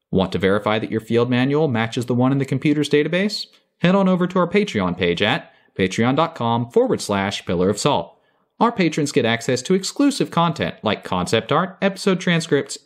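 Lively mid-pitched man reading podcast credits. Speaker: podcast host and voice actor. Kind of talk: scripted credits and promo announcement.

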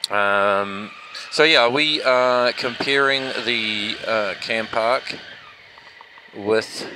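A man's voice: mid-range voice, drawn-out words. A man chatting casually as a motovlogger, rambling and self-deprecating, talking inside a helmet while riding. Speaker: motovlogger reviewing action cameras. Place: outdoors on a motorbike, inside a helmet. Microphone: helmet microphone with foam fluffy. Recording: noisy helmet mic, vehicle and wind noise.

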